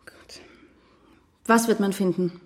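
An actress playing a cold, cynical politician's wife in a radio crime drama, cutting and controlled. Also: female voice, mid-range voice, fairly even intonation, takes it slowly, darkish tone, close to an everyday voice.

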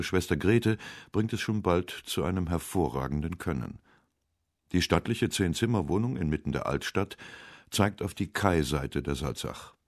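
A man reading scripted narration for a radio essay in a measured, literary way. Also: low-pitched, a little mellow, no echo, clean studio sound.

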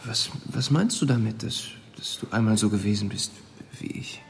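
A man's voice: fairly low pitch, moderate pace, faint music behind. A man in a German radio drama playing a friendly, awkward young student who chats eagerly and stammers apologetically. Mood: eager, unsure. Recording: clear background ambience noise.

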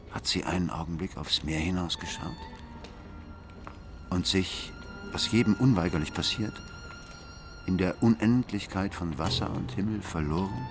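An actor playing a brooding, obsessive husband who narrates a radio drama with cold, deliberate, intense precision. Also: male voice, sits low. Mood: cold, intent. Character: brooding, obsessive husband coldly recounting his grim plan. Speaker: first-person narrator of an audio drama. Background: music.

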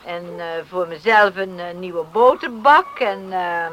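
Bright, lively farmer's wife. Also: female voice, lowish voice, a little slow, slight background noise. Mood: busy, practical. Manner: homely, hesitant over her shopping. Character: plain-spoken, curious island farmer's wife and mother. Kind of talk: radio play acting.

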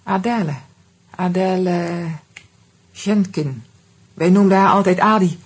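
An actress playing a composed, resigned middle-aged woman, speaking in a measured, subdued way in a Dutch radio drama. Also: on the low side, slightly rough. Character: Vera, resigned, composed woman recalling old sorrows.

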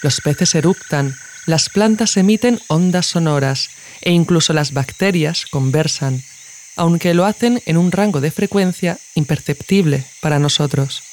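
A woman narrates a scripted essay as podcast host in a measured, literary way. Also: low-pitched, darkish tone, a little hiss or hum.

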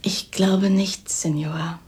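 A woman's voice: steady tone, takes it slowly, a little mellow, breathy edge. An actress playing a timid, naive young woman full of doubt in a radio drama, speaking meekly and briefly. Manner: meek, hesitant, terse. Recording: rumbling noise behind.

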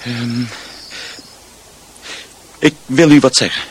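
Male actor: nervous stammering householder for radio drama, halting and slow, faint ambience behind. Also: medium pitch, steady tone, a little hiss or hum, lightly acted. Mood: flustered, agitated. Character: nervous, tongue-tied, resentful householder.

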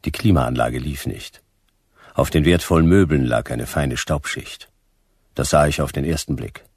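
A man playing a laconic hard-boiled private detective in a radio drama, narrating in a dry, clipped, deadpan way with a gravelly voice. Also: extremely low pitch, normal speed, dry recording, exaggerated voice.

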